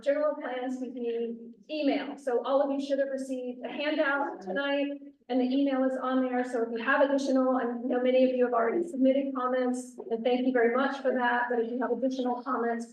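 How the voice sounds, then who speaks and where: A woman's voice: sits high, flat delivery, brisk, hardly any pauses, dull audio, slight background noise. A woman on county planning staff presenting at a public community meeting, often restarting and correcting herself mid-sentence. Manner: frequent self-corrections and restarts; says she has a loud outside voice. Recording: echoey room, far from the mic.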